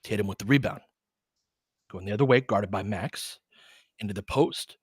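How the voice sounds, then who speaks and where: A man's voice: fairly low pitch, moderate pace, slightly rough, no echo, clean studio sound. A man hosting a casual fan livestream, calling basketball in short clipped phrases mixed with chatty asides. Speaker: play-by-play host.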